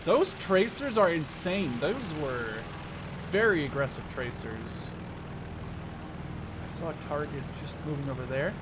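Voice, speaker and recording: Higher-pitched young man commentating over game noise. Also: lively intonation, unhurried. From Canada. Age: 20s. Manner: self-deprecating, joking, slangy. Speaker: gaming video host. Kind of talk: casual live gameplay commentary. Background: game vehicle sounds.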